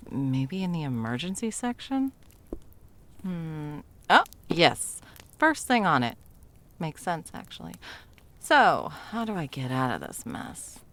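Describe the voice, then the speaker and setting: A woman's voice: low-pitched, very animated, slow pace, fairly bright tone, heavily acted. A woman playing a frazzled, sarcastic, foul-mouthed Christmas delivery elf in a whimsical comedic ASMR roleplay, muttering and often dropping to whispers. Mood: exasperated.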